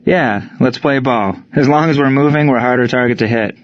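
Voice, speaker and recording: Man playing an earnest male army team leader, sober, shaken, medium pitch, novel dialogue, dry recording. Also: normal speed, dry recording, dull audio, natural voice. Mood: resigned.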